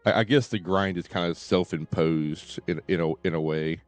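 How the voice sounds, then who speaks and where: A man giving casual live game commentary, thinking aloud in a halting way and often repeating his words. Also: sits low, fairly even intonation, no room sound. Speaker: game livestreamer. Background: faint game music.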